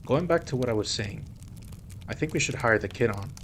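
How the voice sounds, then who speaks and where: A man playing a suave, playful mafia boss in an audio roleplay drama, with a teasing, smooth manner. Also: mid-range voice, animated, brisk, slight background noise. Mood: relaxed, fond. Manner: teasing, smooth, code-switches into Spanish.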